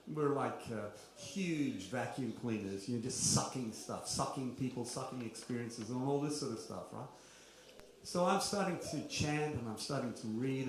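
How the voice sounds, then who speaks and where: A middle-aged man gives a casual spiritual talk, confessional and self-deprecating, leaning on rhetorical questions. His voice sits at an ordinary pitch. It rings out in a very reverberant room with a faint background hiss. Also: a little mellow. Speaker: kirtan speaker. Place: large echoey room at a kirtan gathering. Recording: very roomy, faint background noise.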